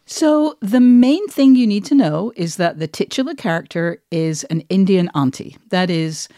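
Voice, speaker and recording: A woman's voice: medium pitch, moderate pace, mellow, no echo. A woman presenting a podcast and interviewing in a conversational style on a close mic.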